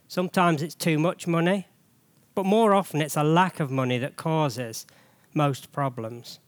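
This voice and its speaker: A man gives a chatty, joking church sermon while fighting a cold that has nearly taken his voice. His speaking voice sits somewhat high. The sound is dry and close. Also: no room sound. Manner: chatty, jokey, frequent rhetorical questions. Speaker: church treasurer giving a sermon.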